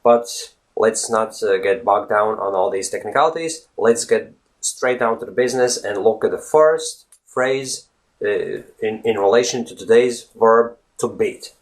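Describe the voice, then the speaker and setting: A man's voice: fairly low pitch, a little slow. A man in his thirties from Latvia with a Latvian accent, teaching English in a casual video lesson, earnest and full of fillers and "right?". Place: indoors, virtual studio backdrop. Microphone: camera close-up, facing camera.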